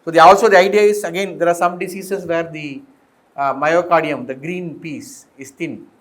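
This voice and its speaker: A man's voice: on the high side, fairly even intonation, normal speed. A middle-aged man with an Indian accent giving an informal technical lecture as a professor, explaining step by step and posing questions to the class. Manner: explanatory, often posing questions and checking understanding. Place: indoor lecture room with green chalkboard. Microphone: fixed or worn mic, voice drifting as he turns.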